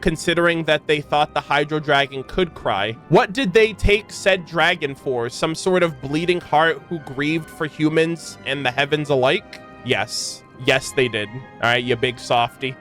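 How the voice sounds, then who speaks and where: Man, high-pitched voice, animated streamer reacting to game lore, incredulous, profane slang, soft music behind. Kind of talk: casual live reaction commentary, reading game lore aloud.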